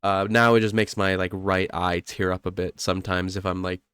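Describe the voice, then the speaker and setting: Young man, stuffy congested nose, low voice, dry close sound, rambling casual podcast chat. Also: little pitch movement, normal speed, a bit bright, clear, resonant tone, dry recording. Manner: rambling, self-correcting, laughs often. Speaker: podcast co-host.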